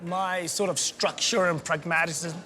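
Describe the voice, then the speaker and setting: A man, a chef, talking reflectively in a relaxed interview with loose phrasing. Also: fairly high pitch, a little slow. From Sweden. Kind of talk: casual interview talk.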